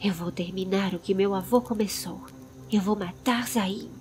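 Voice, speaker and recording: A woman's voice: mid-range voice, normal speed, a little mellow, soft background music, close to an everyday voice. A Brazilian woman voicing a hot-headed, frustrated young man in a fantasy audiobook. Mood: vengeful, resolute.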